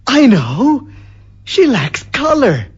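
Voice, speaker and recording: An actor playing a mild, hesitant husband turned unhinged strangler in a radio drama, with a husky, stammering voice. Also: male voice, very high-pitched, huge pitch swings, slow, exaggerated voice. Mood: dreamy, unhinged. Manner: hesitant, stammering, repeating words. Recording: dull lo-fi radio recording with music.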